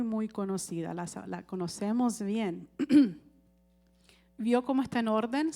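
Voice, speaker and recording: A woman's voice: mid-range voice, mellow. A woman preaching a sermon in a conversational way, often checking with the congregation and asking for amens.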